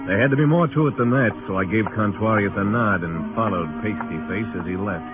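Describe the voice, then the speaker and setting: An American actor playing a tough, laconic Old West marshal, narrating and speaking tersely in an old radio drama. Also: male voice, lowish voice, fairly quick, close to an everyday voice. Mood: terse, watchful. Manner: terse, dry. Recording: tinny old radio recording with music.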